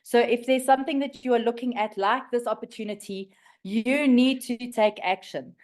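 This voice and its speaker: A South African woman in her fifties speaks in a fairly high but raspy voice, as if getting over flu. She shares a personal testimonial with a group. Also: normal speed, darkish tone. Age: 50s. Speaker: community member giving a testimonial.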